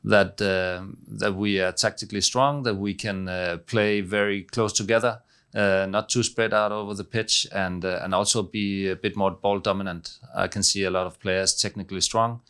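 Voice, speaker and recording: A middle-aged man with a Danish accent, a head coach answering press questions, halting with many fillers and restarts. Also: on the low side, fairly even intonation, fairly bright tone, smooth. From Denmark.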